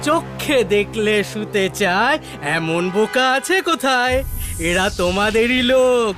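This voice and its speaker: A man's voice: sits high, animated, unhurried, over music. A man playing a boastful, theatrical shoe-thief villain in a dubbed cartoon, gloating and rhyming. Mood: boastful, taunting. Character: gloating comic shoe-thief villain who hypnotizes townsfolk.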